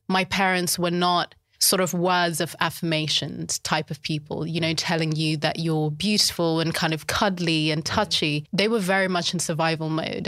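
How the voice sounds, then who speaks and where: A woman from Zimbabwe, a life coach, talking in a thoughtful, unhurried way as an interview guest telling her story. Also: deep voice, fairly bright tone, dry recording, clean studio sound. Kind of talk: reflective interview talk.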